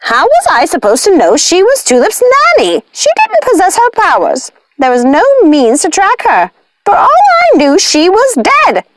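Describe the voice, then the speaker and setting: A woman voicing a quick-tempered, sly witch from a storybook, theatrical, haughty and taunting. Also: high-pitched, wildly swooping pitch, no echo, pitched above the performer's own voice. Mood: defensive.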